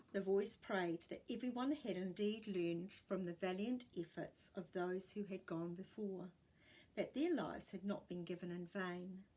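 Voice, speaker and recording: A woman with a New Zealand accent reading a children's story aloud in an expressive storytelling style. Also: mid-range voice, husky, poor audio.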